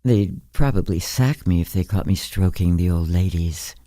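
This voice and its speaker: A man's voice: low-pitched, normal speed, dark tone, no echo, pitched below the performer's own voice. A man voicing an observant, wry young woman care worker in a story, matter-of-fact and thoughtful. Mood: wry musing. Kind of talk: storybook character reading.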